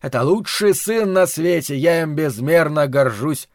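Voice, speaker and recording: A male narrator putting on a pompous older father, bragging in a raised, louder voice with his pitch pushed up. The sound is dry and close, with no room around it. He comes across as a storybook figure full of self-satisfied pride. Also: animated, measured pace, creaky, dry recording. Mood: boastful.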